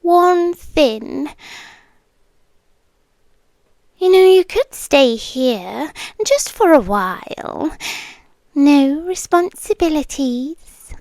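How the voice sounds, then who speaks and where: A British woman voicing a possessive, softly menacing serpent monster girlfriend in an intimate ASMR roleplay, often slipping into whispers. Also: high voice, expressive, takes it slowly, creaky, dry recording, exaggerated voice. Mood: coaxing, tender. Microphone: close microphone, dry.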